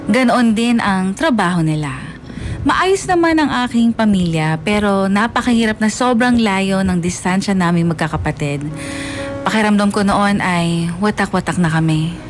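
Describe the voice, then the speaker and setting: A woman's voice: medium pitch, close to an everyday voice. A woman voicing a grown son reflectively narrating a life story in a scripted radio drama, sentimental in manner. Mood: nostalgic. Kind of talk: scripted first-person narration.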